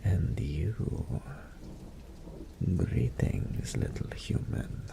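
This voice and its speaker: Brief: a smug incubus demon voiced by a man, very deep and very slow, gravelly whispers, faint rain behind. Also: big pitch swings, noisy background, exaggerated voice. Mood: seductive, teasing. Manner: slow, purring, seductive, condescending. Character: seductive male greater incubus demon, smug, teasing. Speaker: voice actor. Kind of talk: audio roleplay drama.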